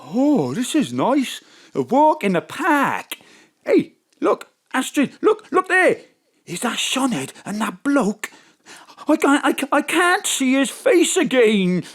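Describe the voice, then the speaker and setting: A man playing a gullible, anxious husband and sidekick in an audio drama, excitable and confused. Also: very high-pitched, slow, exaggerated voice. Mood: anxious, excited.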